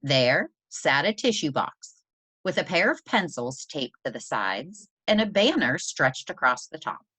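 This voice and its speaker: A woman reading a children's story aloud as a teacher would, in an expressive, deliberate manner for young listeners. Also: deep voice, breathy edge, no echo.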